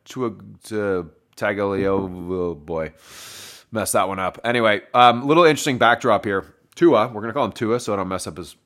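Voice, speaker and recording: Hoarse, wheezy man. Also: fairly low pitch, normal speed, fairly bright tone. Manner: rambling, self-correcting, addresses listeners as folks. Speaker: sports podcast host. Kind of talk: solo game review.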